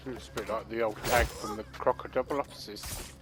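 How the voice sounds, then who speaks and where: Rambling, halting male game commentator. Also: lowish voice, fairly even intonation, background noise. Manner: rambling, halting, with restarts and fillers. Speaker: gameplay commentator. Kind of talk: let's play commentary. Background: game music.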